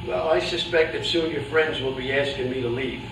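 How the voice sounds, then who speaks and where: A man's voice: on the high side, normal speed, lightly acted. A man playing a world-weary boxing manager in a staged reading, speaking bluntly and matter-of-factly as he lectures his fighter. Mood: blunt, resigned. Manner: blunt, cautionary, matter-of-fact. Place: live staged reading in a roomy indoor space. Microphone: distant video camera. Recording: echoey, noisy room, distant camera microphone.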